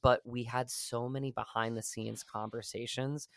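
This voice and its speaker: A man answering as an actor guest in an interview, speaking earnestly and effusively with thoughtful pauses. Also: mid-range voice, level tone, creaky, no room sound. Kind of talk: reflective conversational interview answers.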